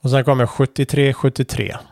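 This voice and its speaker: A man calmly explaining Morse code conventions in a casual tutorial, pausing often with fillers and restarts. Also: mid-range voice, creaky, very noisy. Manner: relaxed, hesitant with frequent fillers and restarts. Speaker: amateur radio instructor.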